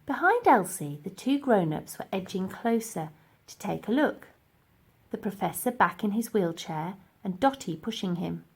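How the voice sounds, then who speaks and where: A woman reading a children's story aloud in an expressive narrating style. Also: medium pitch, normal speed, breathy edge. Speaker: storybook reader.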